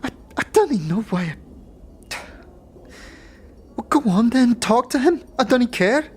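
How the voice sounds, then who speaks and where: A woman's voice: on the high side, expressive, moderate pace, a little mellow, husky. A woman playing a feisty but fearful young street thief in a fantasy audio drama, with urgent, slang-heavy speech. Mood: pleading, upset. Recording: background noise and ambience.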